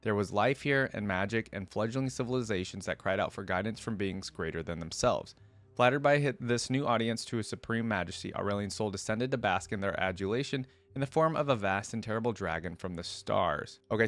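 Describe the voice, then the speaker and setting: Male reaction host, lower register, dry recording, casual asides between read-aloud passages. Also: no room sound. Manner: informal, rambling asides. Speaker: host of a game lore reaction channel. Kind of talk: casual reaction chat mixed with reading aloud.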